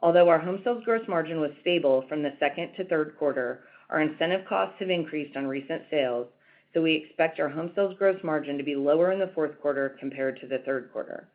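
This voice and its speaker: A woman's voice: deep voice, fairly quick. A woman reading a scripted financial presentation as a company executive on an earnings call. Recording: tinny phone-like conference line.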